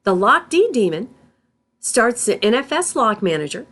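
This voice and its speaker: A woman instructor reading scripted tutorial narration in a deliberate, step-by-step manner. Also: a little high, big pitch swings, fairly bright tone. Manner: deliberate, spells out terms symbol by symbol.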